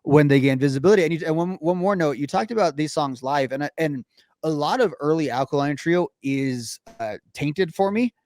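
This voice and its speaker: A man co-hosting a casual music review show, talking haltingly and searching for words. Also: fairly high pitch, expressive, a little mellow, dry recording, studio quality. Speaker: podcast co-host.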